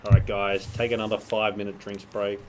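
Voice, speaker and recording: A man's voice: on the low side, flat delivery, a little fast. Young man for a casual chat podcast, dry and needling, faint hiss behind him. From Australia. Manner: teasing, argumentative, dry. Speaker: co-host.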